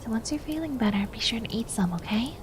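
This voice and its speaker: A woman voicing a caring, sensible, bossy young twin maid in a hushed, often whispered ASMR roleplay. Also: a little high, expressive, fast, noisy background, natural voice. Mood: tender hushed. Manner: soft-spoken, hushed, often slipping into whispers.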